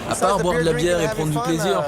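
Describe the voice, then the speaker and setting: A man's voice: fairly high pitch, steady tone, a little fast, natural voice. A man voicing a laid-back, fun-seeking American fan in a documentary interview dub. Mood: easygoing. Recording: loud music and crowd behind.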